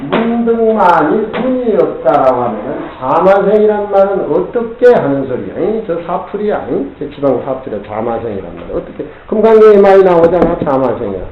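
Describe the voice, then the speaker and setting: A gruff older male teacher gives a scripture lecture, his fairly high voice swinging widely up and down as he prods his students for answers. The sound is drenched in heavy room echo. The old tape is dull and muffled, with a faint hiss underneath. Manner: gruff, keeps prodding listeners with "eh?". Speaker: Zen teacher.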